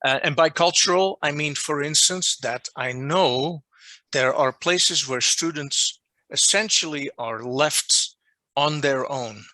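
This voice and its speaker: A man with a Dutch accent, a non-native English speaker, giving an online lecture as a journal editor and restating his points deliberately over a video call. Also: a little high, bright, forceful tone, no echo. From Netherlands. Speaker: journal editor presenting a workshop. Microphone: video call microphone.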